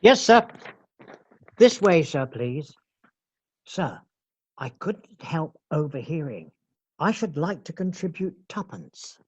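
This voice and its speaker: A man's voice: a little high, sing-song, slow, pauses often, a little mellow, breathy edge, heavily acted. A man playing a meek, kindly male office clerk in a radio play, timid, hesitant and deferential.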